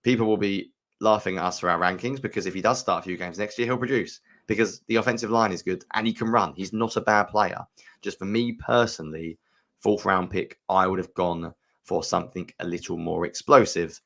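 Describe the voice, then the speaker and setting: Deep-voiced man giving frank opinions. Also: brisk, fairly bright tone. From United Kingdom. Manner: frank, opinionated, thinks aloud with fillers. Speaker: podcast co-host. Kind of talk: casual sports podcast analysis.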